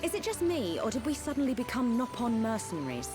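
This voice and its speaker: Casting: serious young warrior woman for a game, cautious and composed, slightly high voice, music underneath. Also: very animated, fast talker. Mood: wry. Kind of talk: video game voice acting. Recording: music behind the voice.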